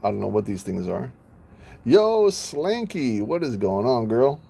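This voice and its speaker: A man's voice: medium pitch, big pitch swings, measured pace, vocal fry, dark tone, slightly rough. A man chatting casually on a live stream, playful, laughing often and stumbling over names.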